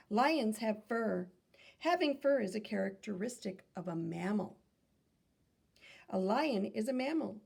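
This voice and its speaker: A woman reading aloud to children like a teacher, in an instructive manner, pausing to pose questions to young listeners. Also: mid-range voice, creaky, darkish tone, husky.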